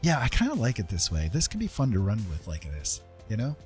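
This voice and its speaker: Low-voiced man chatting casually, music behind. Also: wildly swooping pitch, a little fast, a little mellow. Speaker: livestream host and digital artist. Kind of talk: casual livestream chat while painting.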